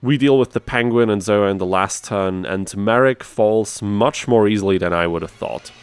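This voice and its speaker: A man's voice: on the low side, moderate pace, smooth. A man hosting a gaming video, narrating a challenge run from a script with conversational, self-deprecating asides. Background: music under the voice.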